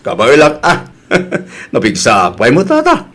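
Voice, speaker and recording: A man's voice: medium pitch, huge pitch swings, slow pace, a bit bright, heavily acted. A man playing a helpful, respectful young villager in an Ilocano radio drama, easygoing, with a Filipino Ilocano accent. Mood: eager.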